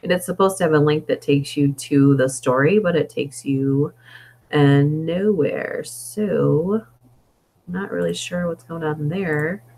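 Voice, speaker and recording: A woman hosts a casual live stream, reading stories and chatting between them. Her voice is hoarse, as if it is wearing out. She thinks aloud and wanders from point to point. She speaks into an inexpensive desktop microphone. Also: low-pitched, unhurried, a little mellow. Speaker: story reader and live streamer. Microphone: budget desktop microphone.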